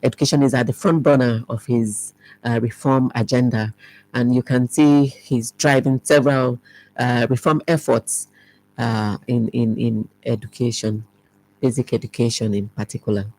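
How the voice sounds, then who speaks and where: A speaker, answering interview questions as an education official, measured and full of ums and restarts. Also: mid-range voice, fairly even intonation, a little mellow, rough recording.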